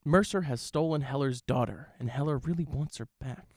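A man co-hosting a podcast, chatting casually about reviews in measured, hesitant phrases. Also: medium pitch, a little mellow, no room sound, studio quality. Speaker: podcast co-host.

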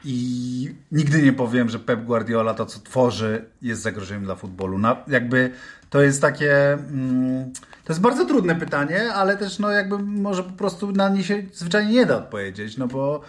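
Male pundit for casual football chat; average pitch, thinks out loud with careful hedging. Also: animated, normal speed. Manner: hesitant, hedging as he reasons aloud.

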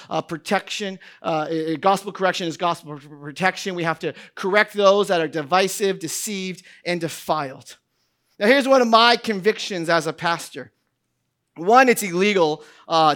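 A man's voice: high voice, fairly bright tone. A male pastor, preaching a sermon, rambling and joking with lots of fillers before building to emphatic points. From New England.

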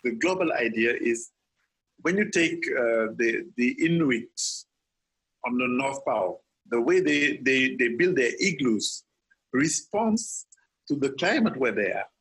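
A man's voice: mid-range voice, lively intonation, measured pace, a bit bright, rough recording. A man from Senegal, an architect, answering interview questions earnestly, with frequent restarts and repeated words.

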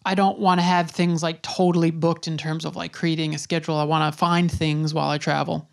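A woman's voice: sits low, level tone, a little fast, gravelly. A woman hosting a podcast, chatty and upbeat, with plenty of fillers. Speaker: podcast host. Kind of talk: casual chat and intro monologue.

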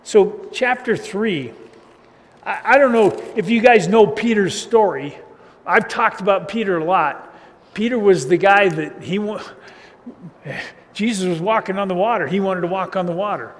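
A man preaching a casual sermon as a pastor, with a loud voice and a loose, chatty style full of chuckles and restarts. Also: high voice, moderate pace. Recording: reverberant hall, faint ambient noise.

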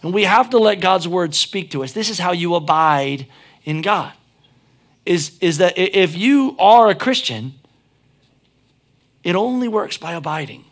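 A man's voice: high voice, animated, measured pace, pauses often. A pastor preaching a sermon, chatting and joking, then driving his points home with emphatic peaks.

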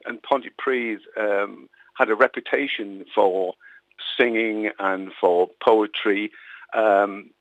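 A man's voice: mid-range voice, no room sound. A man from Wales, an author guest on a radio interview, talking hesitantly with lots of ums and restarts. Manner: hesitant, many fillers and restarts. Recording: tinny phone line.

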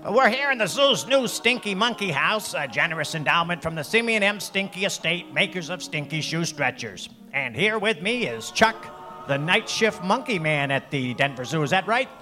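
A man's voice: high voice, expressive, normal speed, bright, forceful tone. A man playing a brash, glib showman of a wildlife field reporter in a mock live radio broadcast. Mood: glib, upbeat. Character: Buck Logic, excitable brash male field reporter of a wildlife show.

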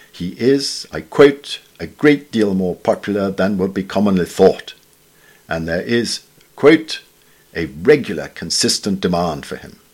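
A British man reading a scripted literary essay in a formal, measured, wryly understated way. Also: lowish voice, a little mellow. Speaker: reader of newspaper essays.

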